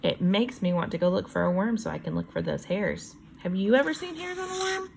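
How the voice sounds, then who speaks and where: A woman teacher reading a children's book aloud, reading deliberately and adding chatty, wondering asides about it. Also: mid-range voice, animated, vocal fry, darkish tone, slight background noise.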